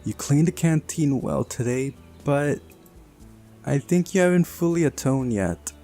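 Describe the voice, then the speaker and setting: A man's voice: mid-range voice, animated, a little slow, warm tone, soft background music, voice pushed up. A man voicing a bossy, cunning woman who leads a youth camp, in a visual novel read-aloud. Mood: stern, scheming. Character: camp leader woman, bossy, cunning, putting youngsters to work.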